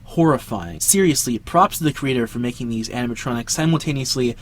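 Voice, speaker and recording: A man's voice: mid-range voice, a little fast. A young man hosting a video essay with casual scripted narration and dramatic emphasis. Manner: dramatic emphasis with jokey asides. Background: background music.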